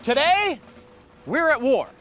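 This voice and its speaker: Man, game-show host, jokey, folksy, high lively voice. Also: takes it slowly, music in the background. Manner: jokey, folksy, exaggerated delivery. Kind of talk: playful hosting.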